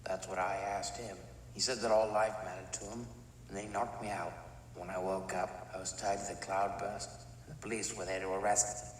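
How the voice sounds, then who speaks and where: Low male voice, an actor as older imprisoned villain, cold and haughty, measured delivery, audio drama, distant reverberant recording. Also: level tone, close to an everyday voice. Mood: calm, challenging. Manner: measured, matter-of-fact, haughty. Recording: echoey reverberant room, distant, faint noise.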